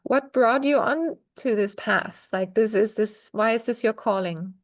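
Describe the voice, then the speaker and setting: A woman's voice: a little high, measured pace. A woman hosting a podcast, asking brief, curious questions of her guest. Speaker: podcast host. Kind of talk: interview questions.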